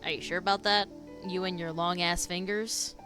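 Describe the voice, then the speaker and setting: A woman's voice: lowish voice, fairly even intonation, normal speed. A woman streamer giving casual, sweary reactions to a game in brief interjections. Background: game music.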